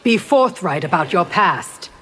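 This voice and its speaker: A dignified warrior guard in a fighting game. She is stern and formal, delivering curt lines at a slow pace over faint music. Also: on the low side, lively intonation, a bit bright. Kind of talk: video game character dialogue.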